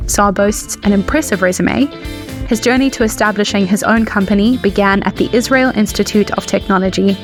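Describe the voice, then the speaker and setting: A woman speaks as a podcast host and interviewer. Her voice sits at an average pitch for a woman. She delivers prepared introductions and questions, with faint music in the background.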